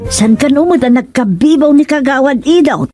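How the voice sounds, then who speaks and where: A woman's voice: high-pitched, lively intonation, moderate pace, dark tone, dry recording, studio quality, soft background music. A Filipino woman playing a gossipy, suspicious village wife in a radio comedy drama.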